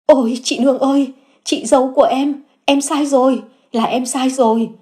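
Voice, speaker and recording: A woman voicing a remorseful middle-aged sister-in-law who begs for forgiveness in a high, tearful voice. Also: measured pace, darkish tone. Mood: begging. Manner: begging, shrill and tearful.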